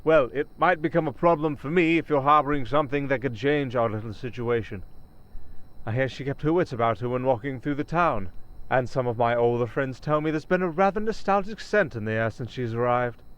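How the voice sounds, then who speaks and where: A man playing a sly, menacing werewolf gang leader in an ASMR roleplay, smooth-talking and mocking with false politeness. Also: medium pitch, brisk, muffled recording, lightly acted. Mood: veiled threat. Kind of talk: ASMR roleplay villain acting.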